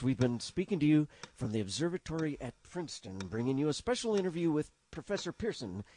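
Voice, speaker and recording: A man playing an excitable, courteous 1930s radio news reporter with formal announcer diction that gets flustered. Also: mid-range voice, a little hiss or hum, lightly acted. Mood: formal, composed. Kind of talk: 1930s radio drama acting.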